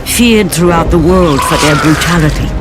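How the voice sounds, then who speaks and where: A man's voice: a little high, moderate pace, loud music behind the voice, natural voice. A man narrating an epic game story in a grave, dramatic voice. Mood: solemn. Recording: game cutscene with loud score.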